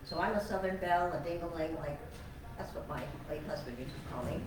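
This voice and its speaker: An older woman tells her story to a meeting crowd in a chatty, heartfelt, self-deprecating way. She is far from the mic in a very echoey hall. Room noise comes through clearly behind her. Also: low voice, fairly quick. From born in Alabama, raised on Long Island. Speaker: cattle farmer, retired teacher. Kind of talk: personal storytelling to an audience.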